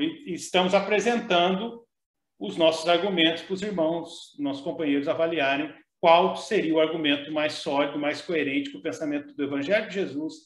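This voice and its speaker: A man co-hosting a talk, speaking in a measured way with hesitations and frequent tag questions. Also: on the high side, reverberant. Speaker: co-host. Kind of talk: casual discussion.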